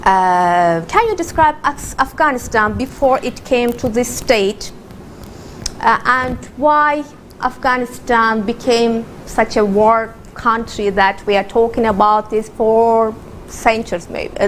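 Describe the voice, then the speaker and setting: A woman's voice: on the high side, slight background noise, low-quality recording. A woman hosting a live TV interview, asking questions and interpreting the answers with frequent hesitations. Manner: halting, frequent fillers. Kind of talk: live TV interview, switching languages.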